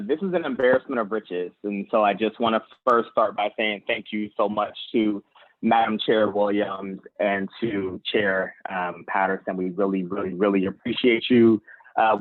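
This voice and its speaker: A man's voice: on the low side, dry recording. A young man moderating an online event, giving semi-formal introductions with emphatic, repeated words for stress. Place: indoors, online video meeting, close dry sound. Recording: thin phone-like online call audio.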